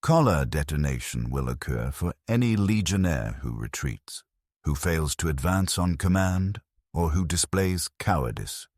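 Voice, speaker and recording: A man's voice: very deep voice, sing-song, measured pace, darkish tone, dry recording, clean studio sound, full character voice. A man narrating a story as a callous military commander, briefing troops in a clipped, commanding, unfeeling manner. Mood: cold, commanding.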